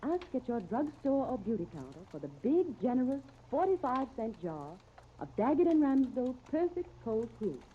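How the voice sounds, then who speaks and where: A woman's voice: on the high side, big pitch swings, normal speed. A woman reading a scripted 1930s radio advertisement as spokeswoman, genteel, persuasive and carefully phrased. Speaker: commercial spokeswoman. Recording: tinny, hissy 1939 radio disc recording.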